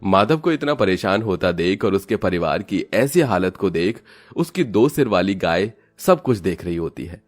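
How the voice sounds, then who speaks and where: A man narrates an animated moral story in a steady, even storytelling manner. His voice sits low for a man. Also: moderate pace, soft background music.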